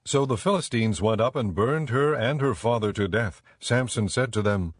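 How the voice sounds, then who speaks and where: A man narrating scripture for a dramatized audiobook in a measured, solemn, scripted style. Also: mid-range voice, no echo.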